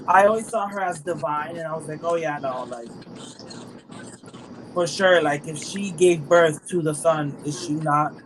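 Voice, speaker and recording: A woman from Puerto Rico talks easygoing and loose as a guest on a show. Her speech is filled with "like" and "um". Clear background noise sits under her voice. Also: deep voice. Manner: relaxed, many fillers like "like" and "um". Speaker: guest practitioner. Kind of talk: casual show-and-tell chat. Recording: noisy livestream audio.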